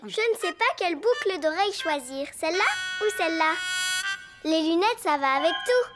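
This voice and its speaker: A woman voicing a sweet, excitable little girl elephant in a cartoon dub, with music behind. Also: very high voice, normal speed. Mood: eager, vain. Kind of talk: French cartoon dub acting.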